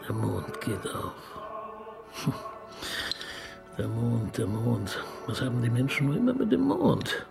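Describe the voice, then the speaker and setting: An actor playing a cultured, pedantic and bossy police inspector in a radio crime comedy, methodical and dryly ironic. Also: male voice, medium pitch, huge pitch swings, takes it slowly, vocal fry, pauses often, loud music behind the voice, exaggerated voice. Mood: musing, savouring.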